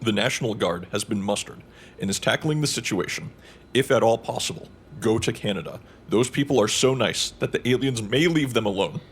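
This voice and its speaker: A man's voice: lowish voice, animated, brisk, a little hiss or hum. A man playing a sarcastic, exasperated news co-anchor in a radio audio drama. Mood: grim, official. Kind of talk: radio newscast acting.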